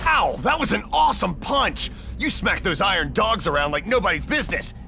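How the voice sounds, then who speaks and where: An excitable young animal plumber from a video game, chatty and exuberant. The voice is high and swings wildly up and down, with light music behind it. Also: male voice, brisk, creaky, exaggerated voice. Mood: excited.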